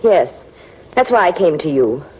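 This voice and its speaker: An American actress playing a poised, earnest young rancher in a 1940s radio drama. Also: female voice, low voice, sing-song, moderate pace, slightly rough, full character voice. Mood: earnest, polite. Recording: muffled 1940s radio recording.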